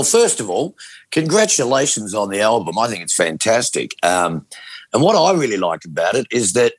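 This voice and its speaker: A man interviewing a guest on the radio, effusive and quick to praise, with plenty of fillers. His husky voice swings widely in pitch. It sounds close and dry, with no room around it. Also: dry recording.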